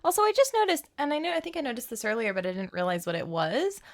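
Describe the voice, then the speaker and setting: A woman hosting a game livestream, chatting casually in an animated, playful, rambling way. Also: fairly high pitch, fast talker, vocal fry. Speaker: game streamer and host. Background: background music.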